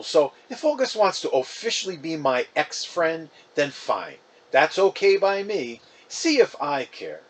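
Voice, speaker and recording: A man's voice: mid-range voice, husky, poor audio. A man reading a storybook as a chatty, wounded, defensive fifth-grade boy, casual and emphatic. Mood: baffled, huffy.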